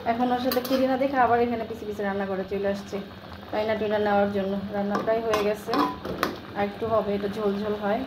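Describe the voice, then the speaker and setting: A woman's voice: mid-range voice, flat delivery, quick pace, echoey room. A woman with a Bangladeshi accent narrating a casual home cooking vlog, explaining each step in a chatty, colloquial way. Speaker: home cooking vlogger and mother. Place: home kitchen while cooking. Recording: clear background noise of sizzling and stirring.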